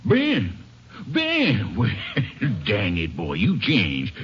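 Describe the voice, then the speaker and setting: A folksy old storekeeper. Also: male voice, on the low side, huge pitch swings, very slow, fairly bright tone, husky, exaggerated voice. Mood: easygoing. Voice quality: drawling, folksy. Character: folksy older male country storekeeper, kindly. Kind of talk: 1950s radio drama acting. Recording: muffled vintage radio recording.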